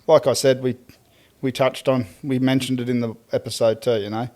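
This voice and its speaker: A man's voice: medium pitch, flat delivery, brisk, a little mellow. An Australian man co-hosting a casual podcast chat, laid-back and teasing, laughing often. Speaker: podcast co-host.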